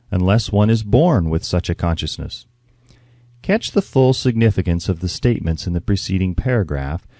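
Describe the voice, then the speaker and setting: A man narrates a self-help audiobook in a dark, mellow voice. His delivery is deliberate and instructive, with wide swings of intonation that stress key phrases. The sound is dry and close, free of room echo. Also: lowish voice.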